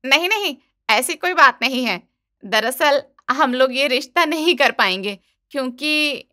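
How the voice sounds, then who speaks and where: A woman voicing an effusive, polite middle-aged rich lady in an animated family story. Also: sits high, unhurried, dry recording, studio quality, full character voice. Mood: awkward. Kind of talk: animated Hindi moral-story dubbing.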